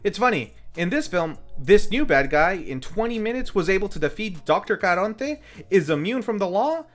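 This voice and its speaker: A man's voice: high voice, sing-song, normal speed. A man narrating a scripted, comedic film recap as a reviewer, with wry joking asides. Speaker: film reviewer, video host. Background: background music.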